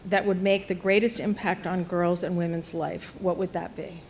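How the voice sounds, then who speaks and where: A woman's voice: fairly low pitch, flat delivery, normal speed, darkish tone, slight background noise. A woman moderating a panel at a luncheon, upbeat as she puts questions to the speakers. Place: luncheon event hall.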